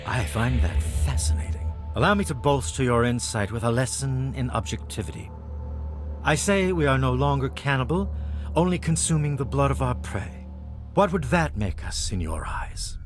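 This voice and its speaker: An actor playing the solemn leader of an underground flock of outcasts in a video game, speaking in formal, preacher-like oratory. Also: male voice, medium pitch, animated, moderate pace, lightly acted. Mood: calm, cryptic. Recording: music behind the voice.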